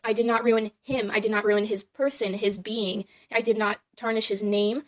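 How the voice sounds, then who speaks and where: A woman talking casually and off the cuff, defending herself and justifying her own opinion. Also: on the high side. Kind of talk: casual unscripted opinion talk.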